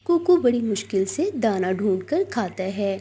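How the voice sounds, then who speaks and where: Slow-paced woman narrating a children's story. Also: medium pitch, big pitch swings, mellow, husky, soft background music.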